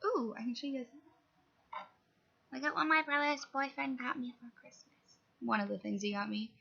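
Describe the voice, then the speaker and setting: A woman's voice: sits high, steady tone, moderate pace, vocal fry, sharp tone. A young woman chatting casually to camera in a rambling vlog update from home, sniffling from a cold, filling her talk with asides and fillers. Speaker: vlogger. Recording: dull lo-fi audio.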